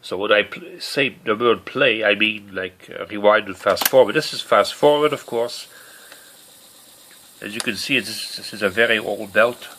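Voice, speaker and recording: Low-pitched man, halting hobbyist narration. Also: sharp tone, slight background noise. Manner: halting, rambling, thinking aloud. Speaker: hobbyist showing his broken tape recorder. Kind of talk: unscripted handheld demonstration narration. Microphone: handheld camera or phone mic.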